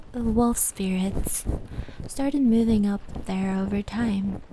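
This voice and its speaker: A woman playing a gentle, knowing fox spirit in an ASMR roleplay, telling stories in a soft, breathy, soothing voice. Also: medium pitch, lightly acted. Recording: steady rain and brushing noise layered in. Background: indoor rain patter and brushing sounds.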